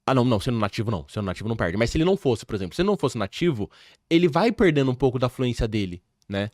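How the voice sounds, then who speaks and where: Animated Brazilian man hosting. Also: medium pitch, very fast, dry recording, clean studio sound. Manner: animated, emphatic, addresses the audience directly. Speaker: podcast host and English teacher. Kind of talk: casual interview chat. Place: podcast studio.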